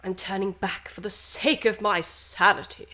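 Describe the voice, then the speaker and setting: A woman's voice: medium pitch, fairly even intonation, moderate pace, sharp tone. A woman co-hosting a game stream, mixing casual, sweary banter with reading game text aloud. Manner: irreverent, sweary.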